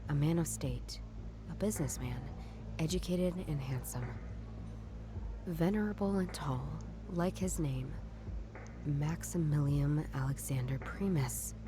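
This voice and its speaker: An actress playing a wry female detective working alone in a noir visual novel, with a dry, deliberate delivery. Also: low voice, background noise. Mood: solemn, reading aloud. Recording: game music playing behind the voice.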